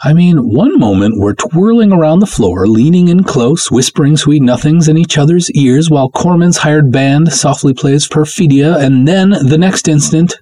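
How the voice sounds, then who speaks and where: A man's voice: a little high, lively intonation, moderate pace, hardly any pauses, mellow, voice pushed up. A man reading a first-person serial story as a chatty, wry woman confiding in a friend.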